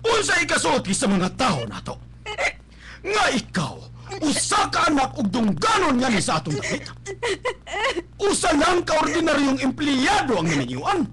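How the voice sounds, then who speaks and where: A man's voice: very high voice, wildly swooping pitch, normal speed, heavy background noise, heavily acted. A man playing a stern, domineering, class-proud father in a radio drama, scolding and giving orders emphatically. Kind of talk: melodramatic Philippine radio drama acting. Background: dramatic music.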